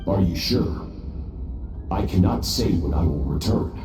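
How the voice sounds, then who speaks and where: A man voicing an aloof, self-assured master swordsman in a game, calm and measured. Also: deep voice. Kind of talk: game voice acting. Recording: roomy, distant, faint music.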